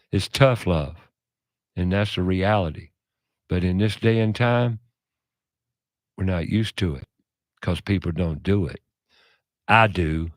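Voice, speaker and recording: A man hosting a radio talk show, speaking in a loud, passionate, preachy way. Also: low-pitched, no room sound, studio quality.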